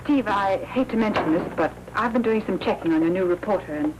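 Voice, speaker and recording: An actress with an American accent playing a poised, sharp and stubborn newspaper reporter in a 1940s crime film. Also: female voice, mid-range voice, brisk, dull audio, natural voice. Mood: determined. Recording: noisy 1940s film soundtrack.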